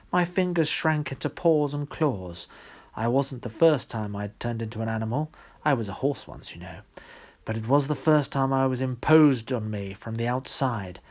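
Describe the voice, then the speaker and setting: A wily fox god from a storybook, voiced by a male reader. He recounts his exploits with smug, boastful relish. The pitch stays in a middle male range. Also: close to an everyday voice. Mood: rueful.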